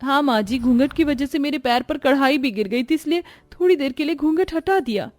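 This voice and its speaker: A woman's voice: high-pitched, brisk, a little mellow, no room sound, voice pushed up, close to an everyday voice. A woman voicing a timid, obedient young daughter-in-law in a story, deferential and pleading. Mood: apologetic.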